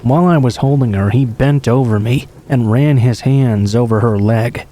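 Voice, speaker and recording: A man reading true scary stories aloud as a scripted narration, in a steady, low-key delivery. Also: moderate pace. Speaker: horror story narrator.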